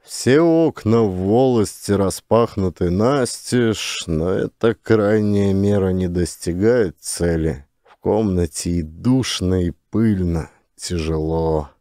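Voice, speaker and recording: A man's voice: low-pitched, takes it slowly, few pauses, dark tone, no echo, clean studio sound. A man narrating a literary audiobook as an actor reading from a script.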